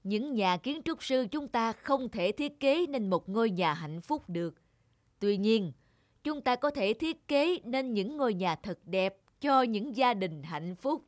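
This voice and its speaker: A woman voicing a kindly, wise older male teacher in a cartoon, measured and reassuring. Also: a little high, animated, no echo, studio quality. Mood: approving, wise.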